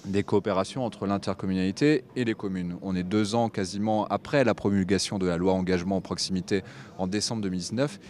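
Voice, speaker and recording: A man hosting a TV interview, with halting phrasing as he puts his questions. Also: low-pitched, steady tone, darkish tone, slight background noise. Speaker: TV host interviewer. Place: TV set at a convention.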